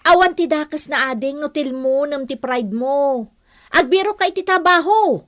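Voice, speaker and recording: An actress playing a calm, frank older female relative in a radio drama, reasoning and gently admonishing. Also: sits high, normal speed.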